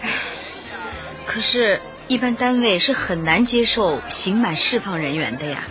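Young female community worker, animated and deferential, radio drama, music under the voice. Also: medium pitch, unhurried, a faint crowd. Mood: impatient, doubtful. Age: young adult. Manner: deferential, expressive. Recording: dull band-limited radio drama, music behind.

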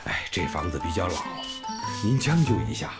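Casting: male actor as welcoming, apologetic rural school principal for radio drama, very animated melody, music underneath. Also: sits low, fairly quick. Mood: earnest, gentle. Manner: courteous, welcoming. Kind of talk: Chinese radio drama acting. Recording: music bed behind the voice.

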